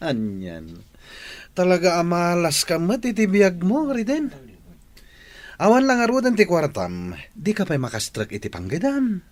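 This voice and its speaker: A man's voice: sits high, sing-song, a little slow, long pauses. A man playing a spoiled, scornful young man who taunts others in a radio comedy drama. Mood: mocking. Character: spoiled, mocking young man who belittles his jobless neighbor.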